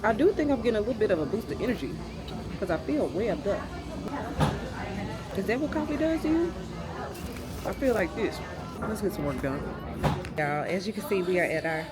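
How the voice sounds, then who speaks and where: A woman's voice: medium pitch, very animated, brisk, long pauses. An American woman in her late thirties chatting casually and slangily to her viewers like friends, as a lifestyle vlogger. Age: late 30s. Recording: loud music behind the voice. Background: loud background music.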